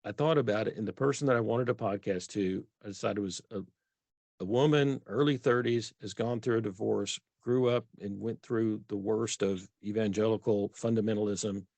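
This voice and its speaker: A middle-aged Texan man with a low voice, talking earnestly as an interview guest. He restarts and corrects himself as he works through a point. The sound is dry and close to the mic. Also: steady tone, normal speed, gravelly, a little mellow, no echo. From Texas. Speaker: author guest. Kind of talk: conversational interview.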